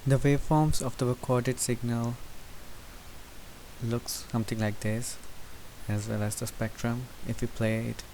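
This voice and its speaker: Guy doing a step by step software tutorial, bit of vocal fry, hissy noisy mic. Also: medium pitch, measured pace. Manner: methodical, step-by-step explaining. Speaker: presenter demonstrating software. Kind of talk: unscripted software tutorial narration. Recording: hissy recording with steady background noise. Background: hiss.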